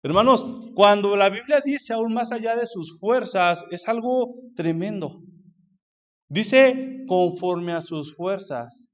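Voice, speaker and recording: A man preaching in church with a high, ringing voice. His carries a fervent, urgent missionary sermon. He keeps calling out to his brothers, and he stumbles over restarts as he pushes on. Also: lively intonation, slow pace. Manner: fervent preaching, repeats "hermanos" often, frequent restarts. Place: church sanctuary.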